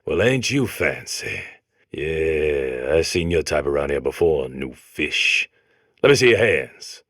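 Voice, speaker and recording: A man's voice: extremely low pitch, sing-song, slow pace, a bit bright, dry recording, studio quality, exaggerated voice. An American man voicing a comic game robot that talks like a grizzled old convict, lecturing in folksy, tough prison slang. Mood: tough, warning. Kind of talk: comic game character monologue.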